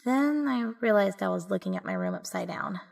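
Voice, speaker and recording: A woman's voice: mid-range voice, wildly swooping pitch, brisk, vocal fry, heavily acted. A woman giving scripted first-person narration for an audio drama.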